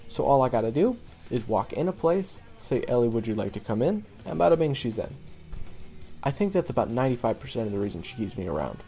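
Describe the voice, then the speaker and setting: A man playing a young monster-hunting swordsman in an audio roleplay, talking casually and haltingly, wry and wounded, with self-deprecating asides. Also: mid-range voice, sing-song, dark tone, soft background music. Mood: wry, explanatory. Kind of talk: conversational audio roleplay monologue.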